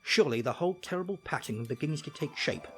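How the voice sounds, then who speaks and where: Clipped English radio detective: highish voice, very animated, self-assured, commanding, old radio drama. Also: male voice, fast, faint music behind. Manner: clipped, authoritative, theatrical. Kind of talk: 1940s radio acting.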